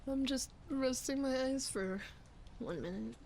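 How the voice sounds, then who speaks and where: A woman's voice: high-pitched, darkish tone. A woman acting as a sleepy, flustered young roommate in an intimate roleplay ASMR, murmuring hesitantly and often whispering, shy and playful. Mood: drowsy, teasing. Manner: hesitant, halting, breathy murmuring with sighs. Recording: clear background ambience noise. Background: rain ambience.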